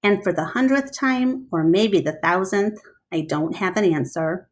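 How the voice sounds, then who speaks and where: A woman reading a storybook aloud expressively, like a teacher, pausing to explain things to listeners. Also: fairly low pitch.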